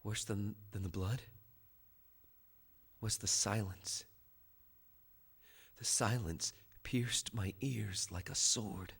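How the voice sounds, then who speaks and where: An actor playing a rebellious, obsessed son in a theatrical audio drama, speaking fervently with halting repetitions. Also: male voice, lowish voice, vocal fry, a bit bright, husky, heavily acted. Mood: hushed, awed. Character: young rebellious son, obsessed, confessing his escape. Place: stage before a studio audience. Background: music.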